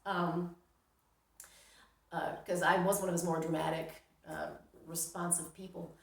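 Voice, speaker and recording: A woman's voice: lowish voice, level tone, unhurried, vocal fry. A middle-aged woman from New York tells a personal story casually and dramatically, acting out her reactions. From New York, United States. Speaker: storyteller, vlogger. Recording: roomy, echoing sound.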